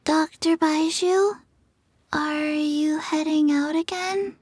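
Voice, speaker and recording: A woman voicing a soft-spoken young girl, a doctor's earnest helper in a video game, with a gentle manner. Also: high voice, fairly even intonation, slow pace. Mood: earnest, concerned. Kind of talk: scripted video game voice acting. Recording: music behind the voice. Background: background music.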